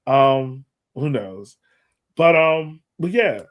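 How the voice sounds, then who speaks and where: A man's voice: mid-range voice, lively intonation, very slow, dull audio. An American man chatting casually as co-host of a sports podcast.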